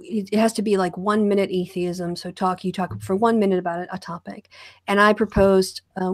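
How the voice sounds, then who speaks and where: A woman's voice: mid-range voice, dry recording. Woman hosting, dry close sound. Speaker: host of an online video channel. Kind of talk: conversational panel interview.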